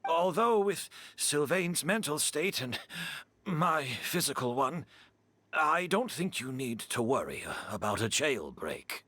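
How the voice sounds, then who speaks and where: An actor playing a frail, remorseful perfumer in a game, calm and earnest. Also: male voice, a little high, slow, dry recording, exaggerated voice. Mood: resigned.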